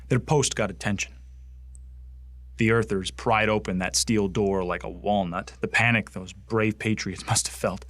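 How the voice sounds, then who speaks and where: Man acting a bitter, low-voiced young military recruit. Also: a bit bright, no room sound. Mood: scornful, intense. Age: young adult. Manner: earnest, deliberate storytelling with contemptuous emphasis. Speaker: actor in an audio drama. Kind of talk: dramatic monologue in a science fiction radio play.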